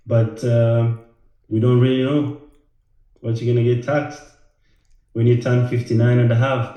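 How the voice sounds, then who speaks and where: Slow, easygoing man in an echoey room. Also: mid-range voice, muffled recording. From Rwanda. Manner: halting, with many fillers and repeated words. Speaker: financial educator. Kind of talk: casual direct-to-camera talk.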